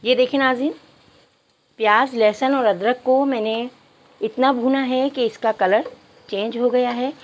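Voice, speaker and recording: A woman's voice: on the high side, steady tone, unhurried. A woman narrating a cooking tutorial step by step, addressing viewers politely. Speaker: cooking channel host.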